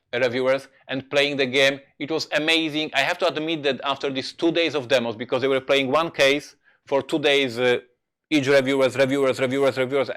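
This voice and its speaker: A man who is not a native English speaker, a game designer telling anecdotes on a live stream in a halting, emphatic way. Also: medium pitch, a bit bright. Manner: halting, emphatic storyteller with repeated words. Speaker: board game designer and presenter.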